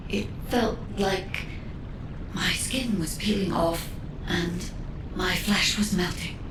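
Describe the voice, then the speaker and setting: A woman voicing a solemn, thoughtful otherworldly guide spirit in a video game, measured, earnest and formal. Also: close to an everyday voice. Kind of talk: video game cutscene acting. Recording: roomy reverb, distant, background rumble.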